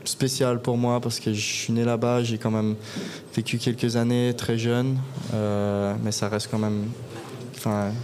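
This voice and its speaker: A young man answers questions at a live podcast taping in a bar, his voice sitting at an average pitch. He speaks in a flat, level tone and stops often to gather his thoughts. A faint hum of room noise sits behind him. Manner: even, unhurried answers with frequent pauses to think. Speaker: guest hockey player. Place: bar, live audience event.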